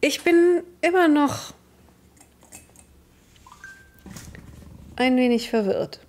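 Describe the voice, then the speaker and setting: A woman's voice: high-pitched, unhurried, darkish tone, voice pushed up. A woman playing a stern, probing female military commander in a tabletop game, speaking formally and curtly. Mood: suspicious.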